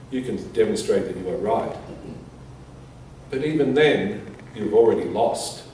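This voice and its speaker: A man with a soft, mellow voice reads a prepared statement aloud at a conference. He goes in measured, deliberate phrases. The room is heavily reverberant and he sounds some distance from the mic, with faint noise behind him. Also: mid-range voice. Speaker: conference speaker. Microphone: distant from the speaker.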